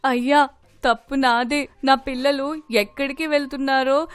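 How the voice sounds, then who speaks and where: A caring, patient storybook mother , played by a woman. Her voice is high-pitched and recorded dry. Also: no echo. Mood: pleading. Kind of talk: acted story dialogue.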